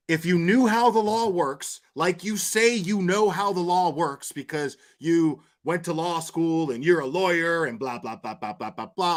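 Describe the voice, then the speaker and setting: Male livestream host, fairly high voice, opinionated off-the-cuff commentary, blunt and argumentative delivery. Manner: blunt, argumentative, coarse-mouthed.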